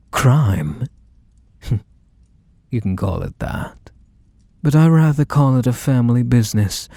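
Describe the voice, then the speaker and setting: A man acting as a possessive, manipulative mafia boss in an intimate ASMR roleplay, coaxing and softly menacing as he speaks to the listener. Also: medium pitch, gravelly, husky, no room sound, exaggerated voice.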